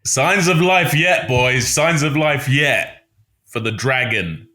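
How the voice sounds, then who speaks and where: A man's voice: mid-range voice, takes it slowly. A man co-hosting a rugby podcast who teases and bursts in with emphatic remarks. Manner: lively, teasing, emphatic. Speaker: podcast co-host. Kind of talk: banter, casual chat.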